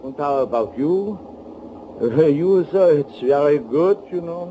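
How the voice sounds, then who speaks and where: An actor playing an affable, oily villain in a 1940s radio thriller, talking with a genial, sly, unhurried manner. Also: male voice, on the high side, lively intonation, dark tone. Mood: friendly insistence. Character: affable, oily male bus passenger, secretly a Nazi killer. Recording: faintly noisy 1940s radio recording.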